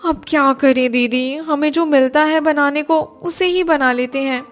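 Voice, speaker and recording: A woman voicing a young, practical village daughter-in-law in a Hindi cartoon, with expressive, homely conversational delivery. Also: high-pitched, unhurried, faint music behind. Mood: concerned, practical. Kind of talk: Hindi cartoon story voice acting.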